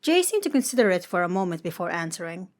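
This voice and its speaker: A woman narrating a piece of fan fiction as a scripted audiobook-style reading, in a medium-pitched voice. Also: very animated, quick pace. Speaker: podfic narrator.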